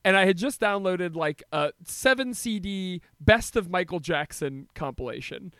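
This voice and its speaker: Male podcast co-host, high and very lively pitch, jokey casual chat, laughs through his lines. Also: normal speed, clean tone, dry recording, studio quality. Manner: jokey, laughs often while talking.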